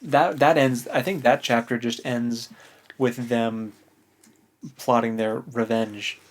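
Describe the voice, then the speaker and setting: A man's voice: medium pitch, fairly even intonation, slow. A man co-hosting a book podcast, recapping in a casual, conversational way.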